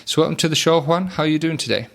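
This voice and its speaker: A man's voice: a little high, very fast, creaky, fairly bright tone. A man hosting a business podcast interview, upbeat and reading out listing details. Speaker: podcast host.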